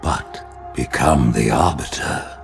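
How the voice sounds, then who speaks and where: An actor playing an ancient alien high prophet in a video game, imperious and calculating, with a gravelly, stately, formal delivery. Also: male voice, takes it slowly. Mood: solemn, commanding. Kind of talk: video game cinematic acting. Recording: game cinematic with music behind.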